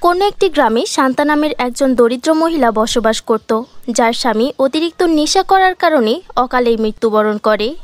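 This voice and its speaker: A woman narrates a cartoon fairy tale as a scripted storyteller. Her voice sits high for a woman, and the sound is dry, with hardly any room around it. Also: no echo.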